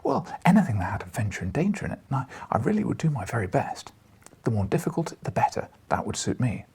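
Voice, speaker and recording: A man's voice: mid-range voice, very animated, fast, vocal fry, a little mellow, slightly rough, voice pushed up, heavily acted. A man voicing an eager, deferential young reporter with an earnest, hesitant manner in an audiobook. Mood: eager, nervous.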